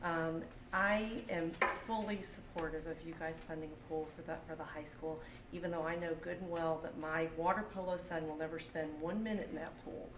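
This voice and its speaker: A woman with a Texan accent pleads earnestly from a podium, her voice a little low and leaning on repeated phrases. She sounds far from the microphone in an echoey library room, with a clear hiss running underneath. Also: steady tone, brisk, few pauses. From Texas. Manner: earnest, pleading, emphatic repetition. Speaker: parent speaking at public comment. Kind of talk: public comment at a school board meeting. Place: school library meeting room. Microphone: podium microphone, picked up from a distance. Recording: echoey room, distant mic, audible background hiss.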